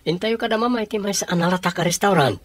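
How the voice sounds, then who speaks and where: A man's voice: high voice, rapid-fire, no room sound. A man playing a devoted young boyfriend, romantic and earnest, in an Ilocano radio drama. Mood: affectionate.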